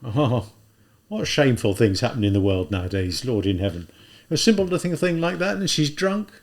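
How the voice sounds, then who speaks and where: A man's voice: mid-range voice, very animated, moderate pace. A man voicing a kindly, fussy old policeman who frets with pity over a drunk girl. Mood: fretful pity. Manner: fretful, clucking pity.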